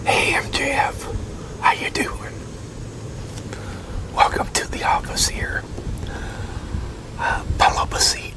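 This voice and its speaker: A man in his sixties in a whispered ASMR roleplay as an easygoing wrestling promoter, talking haltingly as if in a one-sided conversation. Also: poor audio. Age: 60s. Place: open-sided concrete pavilion outdoors, at a metal picnic table.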